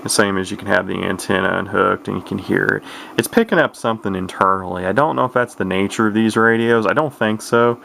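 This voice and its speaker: A man's voice: fairly low pitch, steady tone, fairly quick, gravelly, a bit bright, a little hiss or hum. A man giving a casual, unscripted product walkthrough in a rambling, matter-of-fact way, as a hobbyist talking near his camera. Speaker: radio collector giving a product demonstration.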